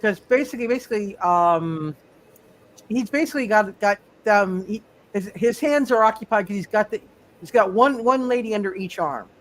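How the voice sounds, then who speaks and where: A man refereeing a casual tabletop roleplay, halting and often repeating his words and phrases. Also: high voice, lively intonation. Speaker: game master of a live superhero tabletop roleplay. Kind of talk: casual, improvised game refereeing.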